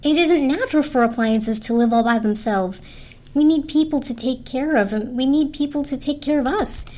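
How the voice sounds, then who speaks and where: A woman's voice: high-pitched, normal speed, a little mellow, lightly acted. A woman voicing a sweet, determined little toaster with a reasoning, persuasive manner in a storybook reading. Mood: earnest pleading. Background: orchestral film score.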